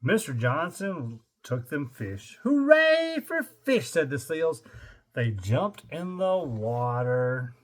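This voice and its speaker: An older man reads a picture book to children with a lively, swooping delivery. He takes his time and stretches words theatrically, then drops into dry, joking asides. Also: medium pitch, takes it slowly. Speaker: grandfather reading a picture book aloud. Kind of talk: animated children's read-aloud with joking asides.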